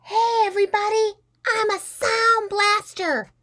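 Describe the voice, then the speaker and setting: Lady doing a super loud excited little kid from a picture book, high voice, yelling everything. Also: unhurried, a little hiss or hum, exaggerated voice. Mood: loud, boastful. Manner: hollering, exuberant. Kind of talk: read-aloud children's storybook character.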